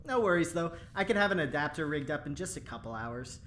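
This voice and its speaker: Bright, lively, folksy lab engineer played by an actor. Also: mid-range voice, fast, slight background noise, natural voice. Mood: eager, cheerful. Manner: casual, chummy, folksy. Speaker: actor in an audio drama. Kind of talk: scripted audio drama acting.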